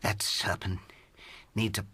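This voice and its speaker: A man's voice: fairly low pitch, little pitch movement, slow, bright, forceful tone, slightly rough, no echo, full character voice. An actor playing an impassioned, gallant English amateur astronomer in a radio drama, emphatic and old-fashioned in his speech. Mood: indignant. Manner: old-fashioned upper-class turns of phrase, emphatic.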